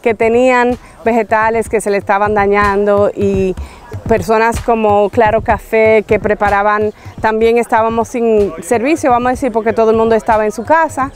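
A woman's voice: on the high side, fairly even intonation, moderate pace, faint music behind. A middle-aged woman explaining things casually in an outdoor interview as a market organizer.

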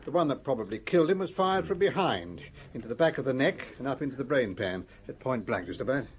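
A man plays a police doctor in a radio drama, dry and clinical, with offhand qualifications. His mid-pitched voice swings expressively, over faint scene ambience and noise. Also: expressive, fast, natural voice. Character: dry, precise male police pathologist.

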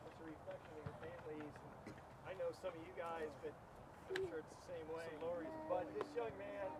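A man's voice: fairly high pitch, fairly quick, very noisy. A man coaching youth football, speaking encouragingly and informally to his young players outdoors. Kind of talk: coaching calls and informal award speech. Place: outdoors on a sports field at night. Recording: outdoor field with loud crowd.

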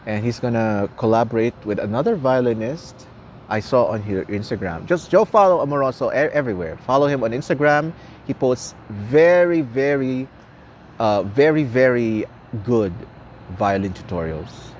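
Young male streamer who speaks Tagalog natively, casual and animated, recorded outdoors on a phone with faint street noise. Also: mid-range voice, normal speed, dull audio. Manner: chatty, laughs often, repeats words. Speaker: live streamer. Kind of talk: casual chat with viewers. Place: outdoors at a gas station at night. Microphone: phone camera at arm's length. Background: traffic and city ambience.

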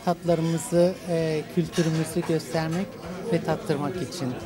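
A woman's voice: sits low, steady tone, unhurried, music in the background, crowd noise. A middle-aged woman speaking emphatically as an interviewed diner, on a handheld microphone in a restaurant. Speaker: restaurant customer being interviewed. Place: restaurant dining room. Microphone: handheld reporter microphone.

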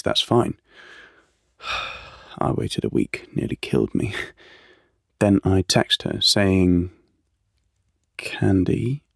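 A cheeky, lovesick young British man in an audio drama. His voice is low and gravelly, recorded close and dry. He tells his story in slangy, confiding speech. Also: dry recording, heavily acted. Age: young adult. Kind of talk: audio play monologue and dialogue.

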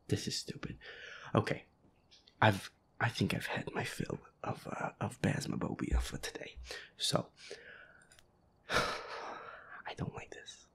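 A man playing a feisty boyfriend in a roleplay audio, muttering whiny, sweary complaints over a scary video game in a breathy half-whisper. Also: fairly low pitch. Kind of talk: roleplay audio, improvised gaming chatter.

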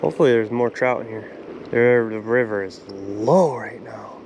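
A young man filming himself fishing on a riverbank with a head-mounted camera, chatting casually and getting excited over catches. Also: medium pitch, moderate pace, creaky, highs cut off, slight background noise. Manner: excitable, swears when fish strike. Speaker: fishing vlogger. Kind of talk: casual running commentary while fishing. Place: outdoors on a riverbank. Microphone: head-mounted action camera. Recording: music playing under the voice.

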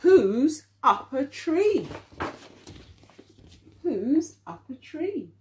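A middle-aged woman reads a picture book to little children in the manner of an early years teacher. Her tone is mellow and her pitch moves up and down a lot. She takes her time, shaping each question clearly for young listeners. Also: a little hiss or hum. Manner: expressive, deliberate, questioning delivery for small children. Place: living room at home, indoors.

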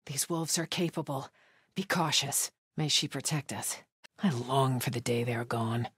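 An actress playing a stern, devout cult soldier in a video game, solemn, guarded and terse. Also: female voice, rumbling low, measured pace, slightly rough, dry recording, clean studio sound, full character voice. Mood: solemn, watchful. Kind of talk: video game enemy dialogue.

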